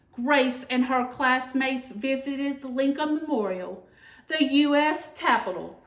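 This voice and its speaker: A woman reading a children's picture book aloud in an expressive, deliberate storytelling manner. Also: fairly high pitch. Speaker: senator and former teacher reading aloud. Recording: echoey room.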